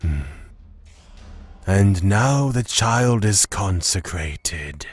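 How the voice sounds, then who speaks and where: A man playing a grave, reserved god in a fantasy audio drama, speaking deliberately in a breathy, husky voice. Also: low-pitched, expressive, heavily acted. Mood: pondering. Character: grave, measured male god of fear and courage. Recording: music under the voice.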